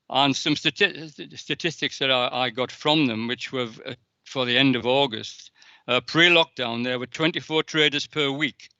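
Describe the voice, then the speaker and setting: A man's voice: mid-range voice, bright, forceful tone, no echo. A man councillor giving a hesitant answer, full of ums and restarts. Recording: dull lo-fi dry audio.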